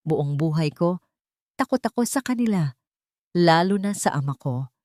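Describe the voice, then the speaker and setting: A man's voice: fairly high pitch, animated, moderate pace, a little mellow, no echo, natural voice. Lady reading as a scared rich son confessing, pleading and guilty, very dry recording. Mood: pleading, ashamed. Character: timid, guilt-ridden young male heir, fearful of father.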